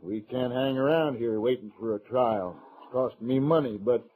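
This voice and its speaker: A man's voice: medium pitch, normal speed, mellow, natural voice. An actor playing a powerful, stubborn older rancher in an old-time western radio drama, folksy and bullying as he cajoles and threatens. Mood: cajoling. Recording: muffled, narrow-band old radio recording.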